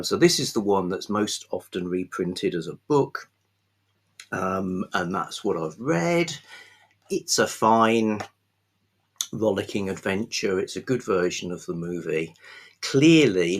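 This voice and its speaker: A man hosting a book vlog, chatting casually without a script in a rambling, halting way with pauses mid-phrase. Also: sits low, slightly rough. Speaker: host of a book review vlog. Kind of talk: casual unscripted book chat.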